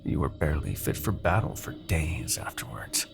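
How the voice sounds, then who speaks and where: A man playing a smug, commanding Demon King in a fantasy ASMR roleplay, taunting and possessive, with theatrical, deliberate phrasing. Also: extremely low pitch, very animated, gravelly, music in the background, exaggerated voice. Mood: coaxing, menacing.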